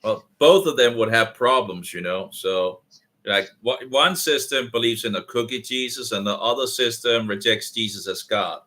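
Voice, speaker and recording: A man from Denmark with a Danish accent, talking in halting, rough-edged speech with restarts on a casual video call. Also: medium pitch, pushed and bright. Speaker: video chat participant.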